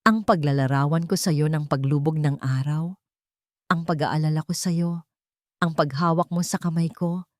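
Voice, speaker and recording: A woman's voice: sits low, fairly even intonation, moderate pace, no echo, studio quality, natural voice. A woman voicing a heartbroken young woman who bitterly accuses her lover in an audio love story. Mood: hurt, accusing. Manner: accusing, wounded, emotional.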